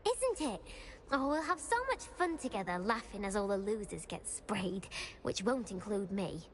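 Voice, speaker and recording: A woman's voice: medium pitch, animated, normal speed, lightly acted. A woman acting an eager, competitive schoolgirl witch in a video game, with a British accent and formal, theatrical phrasing. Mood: delighted, gloating. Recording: clear background ambient noise.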